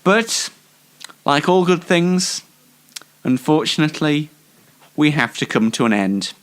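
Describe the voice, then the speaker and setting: A man's voice: fairly high pitch, animated, slow pace, fairly bright tone, clean tone. A man hosting a casual comedy show, rambling in a self-deprecating, mock-formal way. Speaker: show host.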